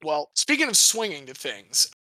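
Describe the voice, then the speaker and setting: A young man talks sports as a podcast host, quick to joke and swear. His voice sits high and sounds bright and forward. The recording is dry, with no room sound. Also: no echo, poor audio. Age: young adult. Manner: animated, jokey, swears casually. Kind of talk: casual sports chat.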